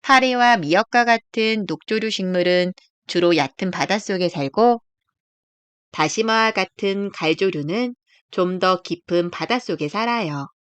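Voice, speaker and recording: A woman's voice: mid-range voice, measured pace, a bit bright, clean tone, dry recording. A woman narrating a scripted children's nature book in a careful, explanatory read-aloud way. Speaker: storybook narrator.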